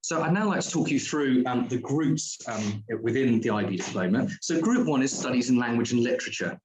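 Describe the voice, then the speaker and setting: A man's voice: mid-range voice, lively intonation, brisk, darkish tone, a little hiss or hum, rough recording. A man presenting a school's diploma courses at an online open day, explaining at length with frequent ums and restarts.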